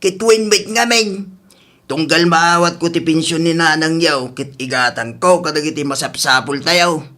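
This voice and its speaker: A man playing a worried, thrifty old father in a radio drama, questioning and gently reproving with an Ilocano accent. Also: fairly high pitch, normal speed. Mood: earnest, defensive. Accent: Filipino (Ilocano). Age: older.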